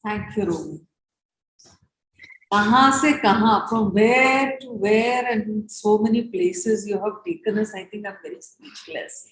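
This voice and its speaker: A woman with an Indian accent hosting a conversation, speaking off the cuff in a halting, reflective, appreciative way. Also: mid-range voice, fairly bright tone. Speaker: host of post-performance conversation. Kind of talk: unscripted appreciative talk. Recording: echoey room.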